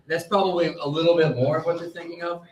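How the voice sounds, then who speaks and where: Measured male sports reporter, echoey room. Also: fairly high pitch, level tone, darkish tone, muffled recording. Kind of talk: conversational sports analysis. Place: live stage at a fan convention.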